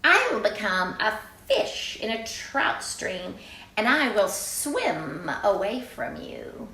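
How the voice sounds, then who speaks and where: A woman's voice: medium pitch, very animated, takes it slowly, sharp tone, reverberant, heavily acted, rough recording. A woman voicing a bold, defiant little boy bunny from a storybook, boldly announcing his intentions. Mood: playfully defiant.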